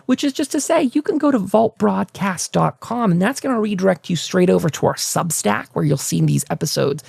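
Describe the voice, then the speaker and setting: A man's voice: fairly high pitch, creaky, no echo, clean studio sound. A man hosting a talk show, chatting in a casual, rambling podcast style as he talks to his audience. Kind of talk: casual podcast outro, plugging websites and upcoming episodes.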